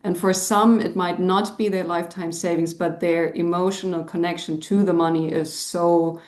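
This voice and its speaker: Hesitant woman caller from Germany, slightly low voice, roomy echo on the line. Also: little pitch movement, moderate pace, darkish tone. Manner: hesitant, lots of um, laughs nervously. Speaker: audience member asking a question. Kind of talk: live video call Q&A.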